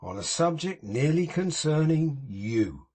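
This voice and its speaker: A man voicing a pompous, sanctimonious middle-aged gentleman in an audiobook, speaking in a grand, moralizing manner with a husky tone. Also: fairly high pitch, big pitch swings, unhurried, heavily acted. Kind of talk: audiobook character reading.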